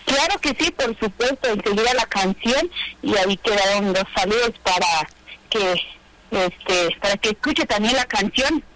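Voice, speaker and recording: A woman whose first language is Triqui, co-hosting a bilingual community radio show and speaking haltingly with frequent fillers. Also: medium pitch, animated, fairly bright tone, low-quality recording. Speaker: radio co-host.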